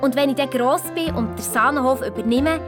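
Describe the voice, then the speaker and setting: A woman's voice: sits high, a little fast, lightly acted. A woman playing an eager, self-assured young girl with a Swiss German accent, telling a children's radio play. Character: eager dog-loving young girl, hotel owners' daughter. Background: music.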